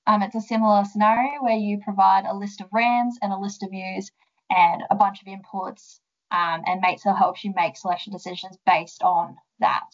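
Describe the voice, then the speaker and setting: Young Australian woman guest, chatty and explanatory, medium pitch, a bit muffled. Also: little pitch movement, moderate pace. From central west New South Wales, Australia. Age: young adult. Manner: friendly, explanatory, with frequent ums. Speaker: guest, sheep genetics development officer. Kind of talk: casual interview answers.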